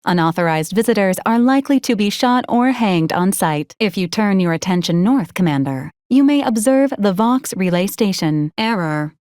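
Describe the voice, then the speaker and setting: A female robot companion from a video game, acted by a woman, with a medium-pitched voice in a very dry recording. The delivery is formal and deadpan, each phrase clipped and clinically detached, yet always courteous. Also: moderate pace, few pauses, clear, resonant tone, no room sound, close to an everyday voice. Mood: flat, procedural. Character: polite, deadpan female robot companion unit assisting a commander. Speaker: robotic assistant. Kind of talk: scripted video game character lines.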